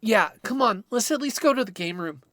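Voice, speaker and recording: A man's voice: high-pitched, animated, a little fast, pushed and bright, no echo, studio quality. An actor playing a nervous, sarcastic young man who talks in slang and swears, in naturalistic audio drama. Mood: subdued, wry.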